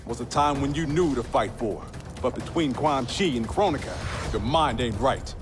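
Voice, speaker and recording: Man playing a confident soldier, lively pitch swings. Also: moderate pace, over loud music, close to an everyday voice. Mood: defiant. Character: steadfast, gruff male soldier, confident and defiant. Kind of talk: video game cutscene acting.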